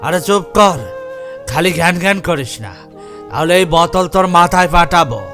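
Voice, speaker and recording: An actor playing a bad-tempered, threatening drunkard husband in a cartoon, coarse and bullying. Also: male voice, high-pitched, normal speed, a bit bright, soft background music. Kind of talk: Islamic moral cartoon voice acting.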